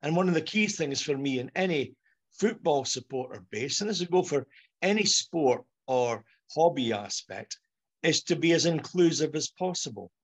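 A middle-aged man with a Scottish accent, speaking as a guest on a podcast discussion in a measured, articulate way. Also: on the high side, lively intonation, breathy edge. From Scotland.

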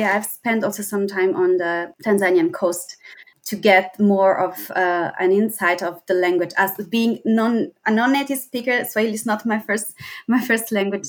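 Woman, academic panelist, halting, frequent fillers, measured explaining. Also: medium pitch. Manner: hesitant, many fillers and restarts while explaining. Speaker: panelist, translation researcher.

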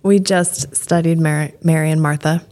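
A woman co-hosting a podcast in a casual, chatty way, laughing often and lifting her voice when animated. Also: sits low, normal speed. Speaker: podcast co-host. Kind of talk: casual chat.

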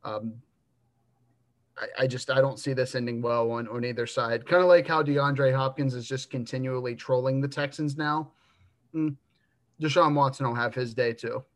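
A man in his twenties co-hosting a sports podcast, giving takes with dry humor and thinking out loud. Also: mid-range voice, steady tone, moderate pace. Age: 20s. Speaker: podcast co-host. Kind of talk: casual sports podcast chat.

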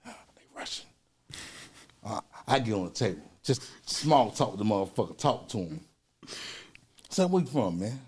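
A middle-aged man chatting casually as a podcast host, telling animated stories and laughing often. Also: lowish voice, normal speed, pauses often, breathy edge. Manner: animated storyteller, loud bursts when quoting himself, frequent laughter. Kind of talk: casual chat, storytelling.

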